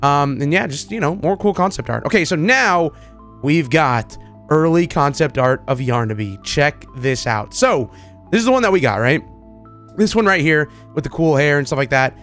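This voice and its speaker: High-pitched chatty man over music. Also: normal speed. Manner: chatty, excitable, slangy, repeats himself. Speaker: gaming video host. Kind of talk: casual reaction commentary to game images and clips. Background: background music.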